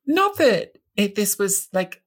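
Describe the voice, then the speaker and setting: A woman's voice: medium pitch, big pitch swings, slow, slightly rough. A woman co-hosting a football chat, analytical and thoughtful, sometimes hunting for the right word. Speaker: football podcast co-host.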